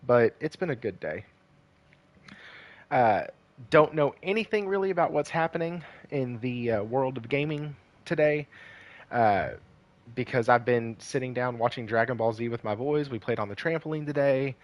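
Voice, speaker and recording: A man hosting a casual gaming livestream, hoarse and losing his voice, rambling with lots of fillers and greeting viewers by name. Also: mid-range voice, expressive, normal speed.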